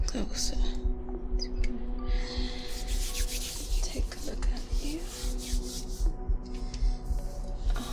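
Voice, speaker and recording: An Australian woman voicing an alluring, eerily fascinated soul-eater doctor, hushed and lingering, in a whispered ASMR roleplay. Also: on the high side. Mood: quietly welcoming. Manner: hushed, lingering, hesitant pauses. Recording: loud music under the whispered voice. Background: loud music.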